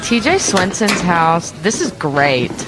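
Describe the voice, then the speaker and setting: Lilting, fawning young admirer. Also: female voice, lowish voice, big pitch swings, takes it slowly, rough recording. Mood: gushing. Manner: gushing, coy. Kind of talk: audio drama acting. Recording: music behind. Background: music.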